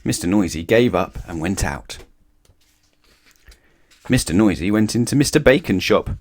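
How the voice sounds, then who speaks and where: Low-voiced, expressive male storybook narrator. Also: normal speed. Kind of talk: expressive children's read-aloud.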